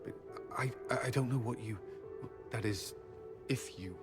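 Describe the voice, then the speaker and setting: An actor playing an earnest, brooding military commander in love in a fantasy game, speaking haltingly. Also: male voice, medium pitch, over loud music, close to an everyday voice. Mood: halting, tender. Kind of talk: dramatic fantasy game dialogue.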